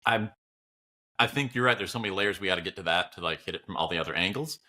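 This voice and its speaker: A man's voice: low-pitched, fast, pushed and bright. A man co-hosting a podcast in a casual, reflective style, musing loosely as he thinks aloud. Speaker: podcast co-host.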